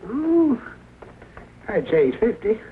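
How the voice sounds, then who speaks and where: A folksy Southern country man in an old film comedy. His voice is high and creaky and swoops around as he drawls. The soundtrack is tinny and hissy. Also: very animated, takes it slowly, exaggerated voice. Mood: folksy, chatty. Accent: rural Southern US. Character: folksy rural old-timer farmer, trading eggs. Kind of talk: 1940s comedy film acting.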